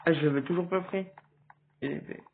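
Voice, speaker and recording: A man with a cold giving hesitant, casual commentary over a game, with sudden excited outbursts. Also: a little high, moderate pace, a little hiss or hum. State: stuffy with a cold. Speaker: gaming video creator. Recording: tinny phone-like recording.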